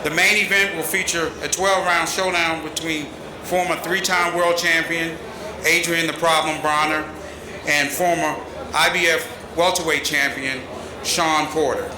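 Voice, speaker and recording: A man's voice: a little high, fairly even intonation, unhurried, a crowd in the background. A middle-aged man reading scripted announcements as emcee at a podium. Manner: formal, scripted delivery. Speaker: press conference emcee. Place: large arena press conference stage. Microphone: podium microphone. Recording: echoey hall with noise.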